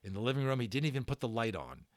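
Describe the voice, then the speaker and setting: A man narrating a children's novel in a theatrical way, stressing words and pausing for drama. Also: mid-range voice, big pitch swings, no room sound, studio quality. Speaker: audiobook narrator and channel host. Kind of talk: dramatic read-aloud storytelling of a children's novel.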